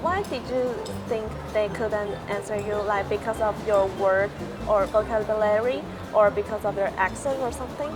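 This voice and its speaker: A woman's voice: mid-range voice, moderate pace, few pauses, music in the background. A woman interviewer asks travelers short questions in a street interview and follows up on their answers.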